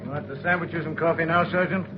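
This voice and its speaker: An actor playing an obliging police station colleague in an old radio drama. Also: male voice, fairly high pitch, steady tone, fast talker, background noise, lightly acted. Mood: helpful. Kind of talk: 1940s radio acting. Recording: muffled old radio broadcast.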